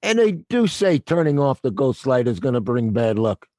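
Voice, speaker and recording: An actor playing a gruff theater night watchman with a blunt, working-class New way of talking, in an audio drama. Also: medium pitch, very animated, normal speed, mellow, no room sound, clean studio sound, close to an everyday voice.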